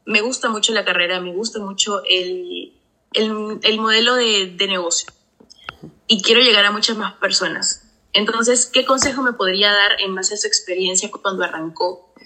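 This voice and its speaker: Woman, 20s, bright tone, enthusiastic, polite caller. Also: mid-range voice, steady tone, moderate pace, poor audio. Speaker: caller asking a question. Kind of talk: asking a question on a group call.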